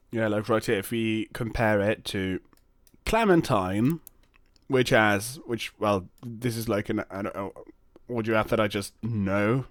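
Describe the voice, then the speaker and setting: Man casually talking through a software demo. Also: fairly low pitch, no room sound, studio quality. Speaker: host of a Linux software video channel. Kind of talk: casual unscripted screen-recorded software demo.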